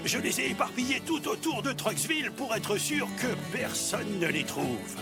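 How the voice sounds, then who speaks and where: An older male truck character from a children's cartoon. The delivery is theatrical and expository, with an animated, widely moving pitch. Loud music plays behind the voice. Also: moderate pace, vocal fry. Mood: theatrical, mysterious. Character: Sam the pirate and Grandpa Ted, older male trucks. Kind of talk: French children's cartoon acting.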